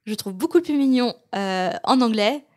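A woman's voice: a little high, lively intonation, moderate pace. A woman co-hosting a podcast in casual, playful conversation. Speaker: podcast host. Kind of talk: casual panel chat.

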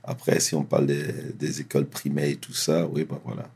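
A man who grew up in France, telling his life story in a relaxed, slangy, candid way as an interview guest. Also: extremely low pitch, brisk, creaky, slightly rough.

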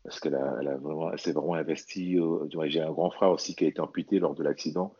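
Very deep-voiced man, halting and earnest. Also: fairly even intonation, warm tone, husky, lo-fi sound. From French Guiana. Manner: halting, repeats words, earnest. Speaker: interview guest, athlete and bodybuilder. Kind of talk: casual personal testimony in conversation.

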